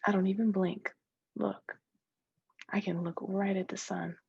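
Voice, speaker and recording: A woman's voice: medium pitch, level tone, a little slow, gravelly, warm tone, breathy edge, no echo, lo-fi sound, heavily acted. A woman voicing a proud, needy young girl who is childlike, insistent and full of questions, in a literary read-aloud. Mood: boastful.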